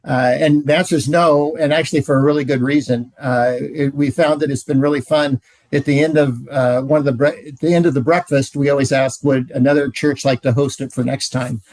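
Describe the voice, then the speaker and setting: A middle-aged man giving a relaxed training talk, telling stories with frequent "uh"s. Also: medium pitch, fairly quick, no room sound. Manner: relaxed storytelling, many "uh"s. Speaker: ministry trainer.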